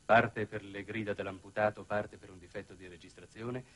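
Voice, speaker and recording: A sober official aide in a vintage television drama. His voice is on the low side, and he reports in a clipped, formal, matter-of-fact way. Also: steady tone, normal speed, a bit bright. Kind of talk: 1960s Italian television drama acting.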